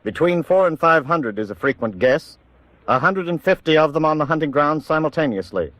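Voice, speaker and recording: A man narrating a wartime radio documentary drama in a measured, authoritative 1940s style. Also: fairly high pitch, animated, a bit bright, no room sound.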